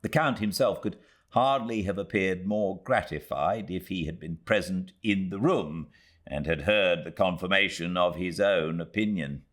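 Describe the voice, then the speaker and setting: A man with a British accent reading a classic novel aloud as an audiobook narrator, in a measured, expressive storytelling manner. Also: deep voice. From Britain.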